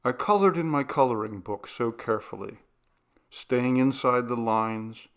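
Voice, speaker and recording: A man's voice: medium pitch, unhurried, clear, resonant tone. A man narrating a scripted ghost story as an audiobook reader.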